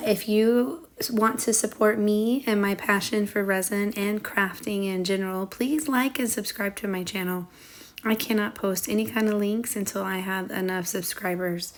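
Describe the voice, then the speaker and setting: A woman narrating a casual craft tutorial in a chatty way, her voice a little under the weather. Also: mid-range voice. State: under the weather, recovering lost voice. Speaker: craft channel host.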